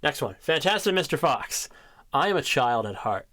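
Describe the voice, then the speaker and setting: A man's voice: medium pitch, big pitch swings, moderate pace, bright, forceful tone. A man in his twenties chatting casually about his favorite movies, enthusiastic, laughing often and swearing freely. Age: 20s. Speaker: ASMR creator.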